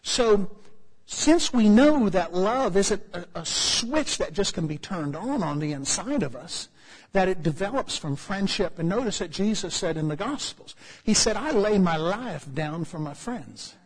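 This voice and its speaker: An American man preaching a sermon, telling a humorous story with lively asides to his congregation. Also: sits high, a little slow, husky, in a large hall, rough recording. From United States.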